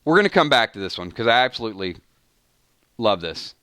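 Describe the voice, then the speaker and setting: A man's voice: lowish voice, very animated, a little fast, bright, forceful tone, no room sound. A man hosting a fan live stream, chatting casually with viewers and breaking off mid-sentence with "hold on" and "wait a minute.". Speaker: fan channel host. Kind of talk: live-stream commentary reading chat.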